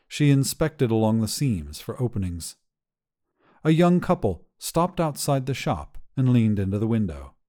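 A man narrates a short story from a script. His voice is mellow and soft-edged, sitting at a middling pitch.

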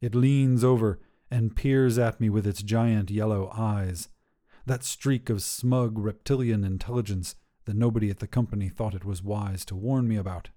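A man's voice: lowish voice, fairly even intonation, moderate pace, mellow. A man narrating scripted first-person short fiction for a podcast.

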